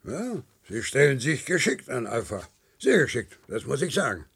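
An actor playing a meticulous, controlling male instructor in a science-fiction radio play, didactic and condescending, with a husky, creaky voice. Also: mid-range voice, huge pitch swings, a bit bright, exaggerated voice. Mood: patronising, solemn. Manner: didactic, hesitant pauses, condescending.

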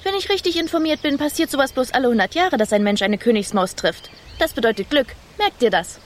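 A woman playing a haughty, bossy little mouse princess in a children's radio play, with a pert, commanding manner and a husky voice. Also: high-pitched, lively intonation, fairly quick. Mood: haughty, cheeky.

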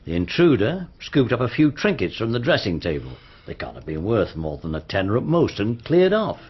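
Husky, low-voiced British police inspector. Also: male voice, very animated. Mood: grave, matter-of-fact. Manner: measured, deliberate, probing. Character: methodical, matter-of-fact male police inspector. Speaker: actor in a radio mystery dramatisation. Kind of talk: British radio drama acting. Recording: slightly dull, band-limited radio recording.

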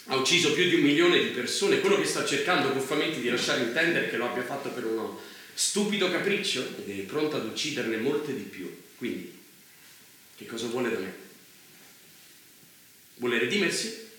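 An actor playing a principled, indignant journalist in a stage drama, insistent and challenging. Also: male voice, medium pitch, expressive, moderate pace, pushed and bright. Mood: indignant, confronting. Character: indignant, principled male journalist confronting a powerful leader. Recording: very echoey room, faint noise.

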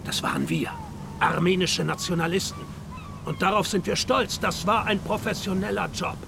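An actor in a German radio drama playing a grandiose, mock-courteous nationalist villain who is effusively genial. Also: male voice, sits high, animated, normal speed, vocal fry, background noise. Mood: gloating, proud.

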